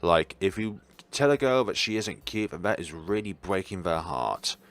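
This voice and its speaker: A man's voice: sits low, expressive, moderate pace, faint music behind. A man reading a visual novel aloud and adding casual, wry commentary as host of a playthrough. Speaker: gaming video host.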